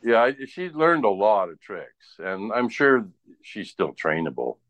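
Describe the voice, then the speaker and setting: Man, low-pitched voice, dry close sound, casual rambling chat, frequent "right?" tags. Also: very animated, unhurried, a bit bright, no room sound, highs cut off. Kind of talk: casual chat, personal anecdotes.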